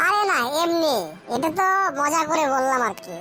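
A woman's voice: high-pitched, moderate pace, faint music behind. A woman voicing a cheerful, teasing cartoon child who quizzes friends. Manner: lively, teasing, mixes in English phrases.

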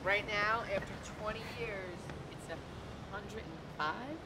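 A woman's voice: mid-range voice, takes it slowly, heavy background noise. Playful woman answering from a car. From New Jersey. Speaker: high school teacher. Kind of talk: casual street interview. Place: in a car at the curb.

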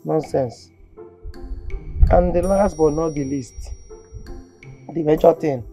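A woman's voice: deep voice, takes it slowly, mellow, faint music behind. A woman with a Nigerian accent playing a scheming, lecherous male house steward who bullies and mocks the maid in a comedy skit. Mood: bossy. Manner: mocking, bullying, laughing.

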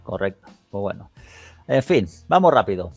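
A man's voice: lowish voice, big pitch swings, moderate pace, no echo, soft background music. A man from Spain with a Castilian accent, chatting casually and in slangy colloquial terms as a gaming podcast host. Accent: Castilian Spanish. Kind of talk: casual chat.

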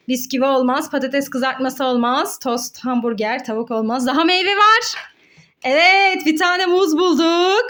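A young woman playfully hosting a children's game as a mother, encouraging and coaxing in an animated, sing-song way. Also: very high-pitched.

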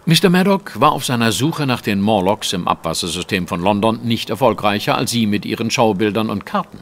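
An actor playing a shrewd, self-assured Victorian detective in an audio drama, explaining things in a precise, assertive way. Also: male voice, fairly low pitch, expressive, brisk, a bit bright. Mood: brisk, commanding.

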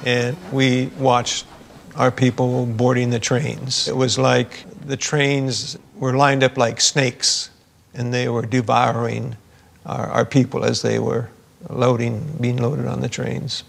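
Older guy, gravelly flat voice, slow storytelling. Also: medium pitch. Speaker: interviewee. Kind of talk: recalling memories.

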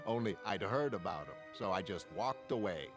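A man's voice: lowish voice, animated, moderate pace, pitched below the performer's own voice, lightly acted. A man voicing a sardonic, impatient young woman, a grown daughter with a blunt, colloquial manner, in a comic-book drama. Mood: amused. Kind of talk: dramatic comic-book narration in character voices. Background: music.

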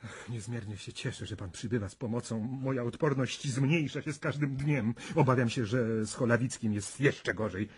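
Very husky anxious professor. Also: male voice, mid-range voice, normal speed. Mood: anxious, courteous. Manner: polite, worried. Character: anxious, courteous weary male professor. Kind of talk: radio drama acting.

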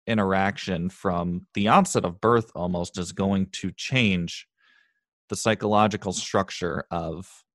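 Very low-voiced male podcast host, dry. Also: fairly even intonation, a little slow, fairly bright tone, no room sound. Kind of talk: podcast interview.

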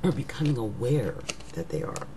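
A middle-aged woman with a rough, raggedy voice, talking casually to her webcam at home as an astrologer, slow and musing, trailing off into whispers. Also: very deep voice, expressive, noisy background. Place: living room at home. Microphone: webcam at desk.

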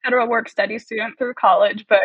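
A woman's voice: fairly high pitch, steady tone, quick pace, fairly bright tone, breathy edge, no echo, muffled recording. A young woman in her twenties, speaking as a podcast guest in a cheerful, chatty way and laughing often. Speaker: communications staffer, podcast guest. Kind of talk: casual conversation.

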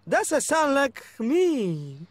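A woman voicing an oddball, easily hurt cartoon schoolboy who speaks in halting, broken phrases. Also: high-pitched, very animated, no room sound, heavily acted. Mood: confused. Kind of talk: cartoon dialogue.

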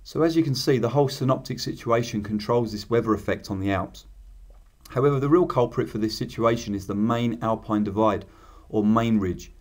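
A man narrating a scripted instructional voice-over, explaining in a measured, technical way. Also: on the low side. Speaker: paragliding instructor.

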